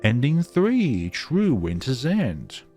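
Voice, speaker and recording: A man's voice: medium pitch, huge pitch swings, takes it slowly, darkish tone. A man reading a visual novel aloud and commenting on it as the narrator of a gaming video. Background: background music.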